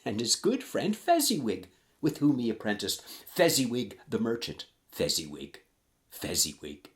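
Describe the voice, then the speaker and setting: A man narrating a rhyming story theatrically, with dramatic pauses and hushed emphasis. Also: medium pitch, very animated.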